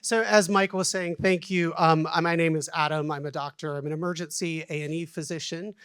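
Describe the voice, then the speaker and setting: A man in his forties with a Southern American accent opens a conference panel, talking in a folksy, self-deprecating way. His voice sits fairly high, and he fills his sentences with ums and uhs. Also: steady tone, fairly quick, a bit bright, clean tone. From United States. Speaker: panel moderator.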